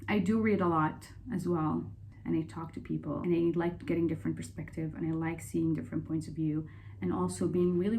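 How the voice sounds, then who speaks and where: A young woman from Saudi Arabia talking casually and candidly in a personal Q&A vlog, chatty and quick to laugh. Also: on the low side, warm tone. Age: young adult. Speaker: vlogger.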